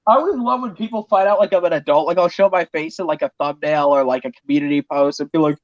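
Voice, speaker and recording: A young man chatting casually on a stream call, self-mocking and quick to laugh. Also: a little high, no echo. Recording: slightly muffled dry lo-fi mic.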